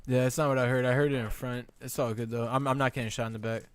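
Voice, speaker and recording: A man's voice: mid-range voice, steady tone, quick pace, clear, resonant tone. An American man talking casually with teammates as he plays a video game, on a noisy voice chat. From United States.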